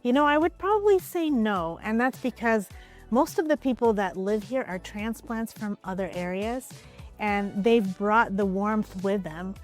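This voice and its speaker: A woman from Ukraine talks easily through interview questions as a real estate agent. She is relaxed and conversational, and she often softens her answers with small hedges. Her voice sits mid-range, with soft music faint underneath. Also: mid-range voice, measured pace.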